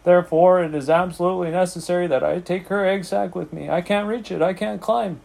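A man's voice: on the high side, a little slow, a little mellow. A man voicing a frantic, emotional young pig in a children's storybook reading, urgent, pleading and melodramatic. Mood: desperate, pleading.

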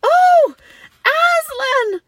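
A woman voicing a young storybook girl, tender and excitable, exclaiming breathlessly. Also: extremely high pitch, extremely slow, no room sound, clean studio sound, voice pushed up, heavily acted. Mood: amazed, overjoyed.

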